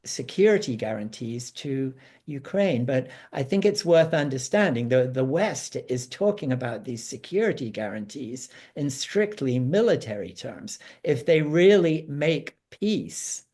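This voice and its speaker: Slow, halting man explaining. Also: medium pitch, sing-song, a little mellow. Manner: halting, hesitant, frequently repeating words. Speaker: guest analyst on a panel. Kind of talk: informal explanatory panel talk.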